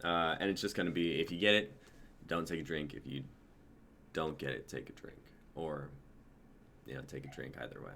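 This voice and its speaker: A man's voice: very deep voice, fairly even intonation, normal speed, creaky, pauses often, bright, forceful tone. A man in his twenties casually hosting a livestream in an informal, hyped, sweary way. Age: 20s. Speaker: host of a livestreamed script-reading show. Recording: noisy home livestream audio.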